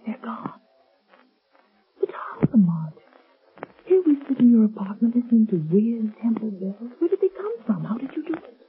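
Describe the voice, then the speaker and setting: An actress playing an anxious, devoted companion, earnest and theatrical, in a 1930s radio drama. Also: female voice, a little high, very animated, normal speed, pauses often, breathy edge, full character voice. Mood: awed, curious. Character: Margot, anxious, devoted woman companion of a crime-fighter. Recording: muffled tinny 1930s radio broadcast recording.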